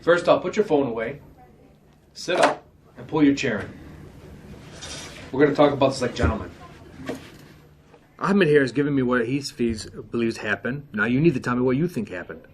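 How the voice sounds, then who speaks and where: A man's voice: mid-range voice, normal speed, long pauses, noisy background, natural voice. A man playing a stern, orderly school disciplinarian in an amateur dramatization, questioning in a firm, formal way. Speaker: school administrator questioning students.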